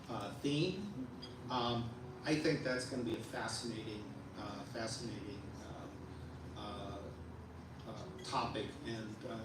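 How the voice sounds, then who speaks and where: Guy going over the event program at a luncheon, lots of ums, far off in a big echoey room with noise. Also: medium pitch. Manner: halting, many fillers and restarts. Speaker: board member presenting the program. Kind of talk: casual program announcements at a luncheon. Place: hotel banquet room at a luncheon. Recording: echoey room, speaker far from mic, noisy.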